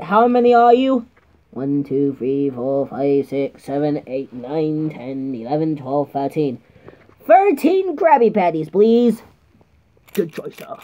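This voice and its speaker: A man's voice: fairly high pitch, mellow. A young man doing a homemade toy puppet show, switching between many silly put-on voices. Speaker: toy video creator voicing many characters. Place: home room with toys on the floor. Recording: dull lo-fi home recording.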